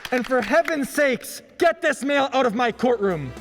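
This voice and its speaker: A man's voice: very high voice, lively intonation, normal speed, over music. A man playing a formal, exasperated courtroom judge in a radio play. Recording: long hall-like reverb.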